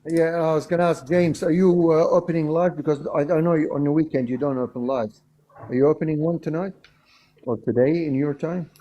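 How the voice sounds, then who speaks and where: Higher-pitched, mellow man chatting casually. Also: steady tone, a little fast, slight background noise. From Australia (resident). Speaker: livestream discussion participant. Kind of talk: casual chat. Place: livestream call.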